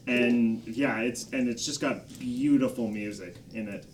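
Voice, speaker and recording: A man of average pitch explains things in a casual, conversational way. He is a little away from the microphone, with faint noise behind him. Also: fairly even intonation, a little slow. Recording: slightly distant mic, faint background noise.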